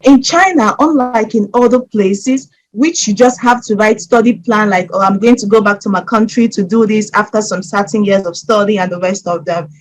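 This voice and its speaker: A woman's voice: medium pitch, normal speed, few pauses, slight background noise, rough recording. A woman from Nigeria giving methodical scholarship application advice directly to her viewers. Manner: steady, methodical, advising listeners directly. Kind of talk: instructional explainer.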